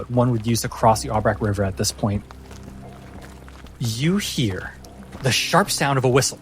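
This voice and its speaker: A man working as game master, narrating a tabletop fantasy game dramatically with suspenseful, deliberate phrasing. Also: mid-range voice.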